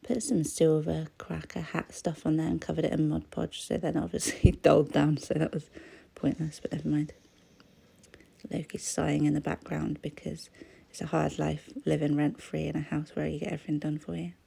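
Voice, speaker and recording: Croaky chatty woman. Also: deep voice, little pitch movement, moderate pace, warm tone. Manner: rambling, chatty, often drops to a whisper. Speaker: crafting vlogger. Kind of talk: casual voice-over chat while crafting.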